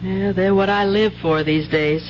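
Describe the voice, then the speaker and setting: A woman's voice: mid-range voice, fairly even intonation, unhurried, background noise, close to an everyday voice. An actress playing a weary, loving working-class mother with plain, folksy speech in a radio drama. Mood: weary, bitter. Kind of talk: 1970s radio drama acting. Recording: dull old radio broadcast with music.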